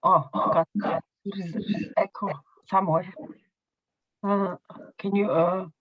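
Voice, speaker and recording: A woman moderating an online panel over a video call. She talks in a friendly, halting way, with fillers. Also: medium pitch, steady tone, slightly rough, no room sound. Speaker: meeting moderator. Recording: muffled video call audio.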